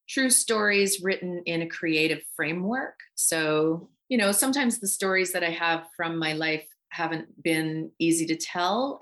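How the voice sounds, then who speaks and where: A woman's voice: low voice, fairly bright tone. A middle-aged woman from Canada, talking casually and reflectively as an interview guest. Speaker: guest voice actor and writer.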